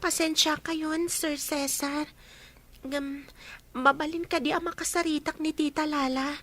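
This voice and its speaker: A woman's voice: high voice, steady tone, measured pace, fairly bright tone. An actress playing a young woman student in a radio drama, pleading and hurt. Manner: pleading, tearful. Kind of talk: Ilocano radio drama acting.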